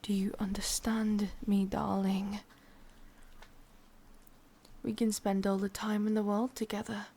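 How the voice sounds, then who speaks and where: A woman with an English accent voicing an ancient, lonely, sadistic witch in a breathy half-whispered ASMR roleplay, speaking slowly with drawn-out pauses. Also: medium pitch, flat delivery, dark tone. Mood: coaxing. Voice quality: breathy, often slipping into whisper. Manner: slow, halting, drawn-out delivery with pauses.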